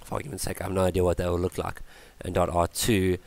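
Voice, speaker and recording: A man's voice: low-pitched, little pitch movement, fast, vocal fry, darkish tone. A man teaching a coding tutorial over a screencast, thinking aloud with fillers and self-corrections. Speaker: tutorial instructor.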